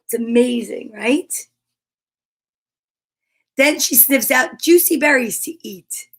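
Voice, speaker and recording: A woman's voice: a little high, lively intonation, takes it slowly, gravelly. A woman hosting a live children's story time, reading aloud and chatting to viewers with playful, encouraging exclamations. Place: at home.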